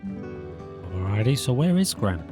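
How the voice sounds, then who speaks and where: A man's voice: on the low side, sing-song, slow. A man giving casual let's-play commentary, chatty and musing aloud to himself. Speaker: gaming video host. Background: guitar music.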